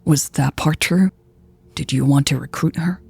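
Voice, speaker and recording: A woman's voice: sits low, normal speed, creaky, a little mellow, slightly rough, no echo, exaggerated voice. A woman reader voicing an angry, wounded woman leader who speaks in a clipped, accusing way in a romantic audio story. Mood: quiet, intense questioning. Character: angry, cold, wounded woman leader confronting her lover. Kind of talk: audio fiction dialogue reading.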